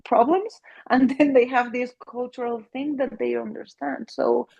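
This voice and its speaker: Woman, middle-aged, Mexican accent, Spanish native, therapist in interview, earnest, restarts often, husky voice, higher pitch. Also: fairly even intonation, unhurried, darkish tone, muffled recording. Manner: earnest, many restarts and fillers. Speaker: family therapist. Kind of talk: interview conversation.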